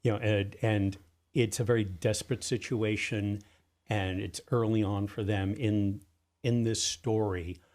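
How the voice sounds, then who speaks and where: A man's voice: fairly low pitch, a little mellow. A man explaining his work casually as an interview guest. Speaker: audio drama creator, interview guest.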